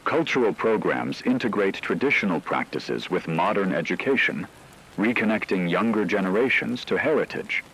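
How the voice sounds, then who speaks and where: A man playing a formal, measured radio news anchor reading scripted reports in a solemn manner. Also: fairly low pitch, expressive, fairly bright tone, husky, full character voice, poor audio. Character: grave male radio news anchor in a dystopian broadcast.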